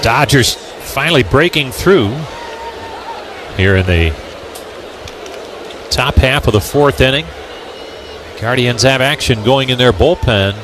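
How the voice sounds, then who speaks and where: A man's voice: mid-range voice, lively intonation, slow pace, pauses often. A man doing live radio play-by-play commentary of a baseball game as the announcer. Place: ballpark broadcast booth. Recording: ballpark outdoor background noise. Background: ballpark ambience, noise.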